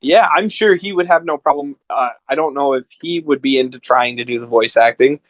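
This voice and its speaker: A man's voice: mid-range voice, brisk, no echo, dull audio. A man chatting casually as a podcast guest, telling stories in a rambling, enthusiastic way. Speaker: podcast guest and amateur voice actor. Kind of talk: casual chat.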